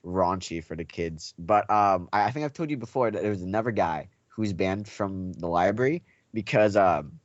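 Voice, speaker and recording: A man chats casually as a podcast co-host, telling anecdotes with very lively intonation. He acts out what other people said. The sound is close and dry but dull and lo-fi. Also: deep voice, normal speed, hardly any pauses, no echo. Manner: animated storyteller, acts out reported speech.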